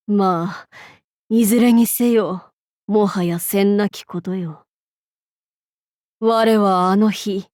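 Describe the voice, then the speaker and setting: A woman's voice: mid-range voice, darkish tone, no echo, clean studio sound, lightly acted. A woman voicing a haughty female demon-king warlord in a video game, speaking in an archaic, lordly and commanding way with amused authority. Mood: dry, brooding, then solemn, wistful. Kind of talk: Japanese game character voice lines.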